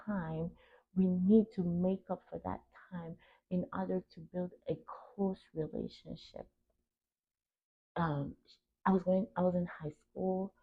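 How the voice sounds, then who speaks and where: The sound is narrow and phone-like. A young woman speaks in a fairly low, creaky voice. She hosts in a reflective, personal way, earnest and emphatic, breaking her thoughts into short phrases. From Cameroon. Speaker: podcast host. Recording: tinny phone-like narrow band.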